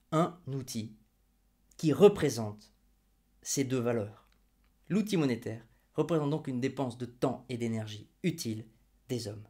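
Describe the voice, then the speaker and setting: A man's voice: medium pitch, a little mellow. A man hosting his own video, explaining money with ironic jabs and rhetorical questions put straight to the viewer. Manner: ironic and mocking, then patiently didactic. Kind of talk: opinion explainer to camera.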